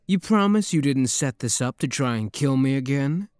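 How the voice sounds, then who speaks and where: A man's voice: mid-range voice, animated, moderate pace, darkish tone, clean tone, no echo, clean studio sound, lightly acted. A man playing a playful, flirty demon prince with a teasing, theatrical manner in an intimate ASMR roleplay. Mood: amused, disbelieving.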